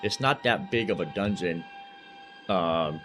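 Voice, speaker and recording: A man's voice: sits low, unhurried, music in the background. A man giving casual, rambling gameplay commentary as a live streamer, often repeating himself in an easygoing way. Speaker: live streamer playing a video game.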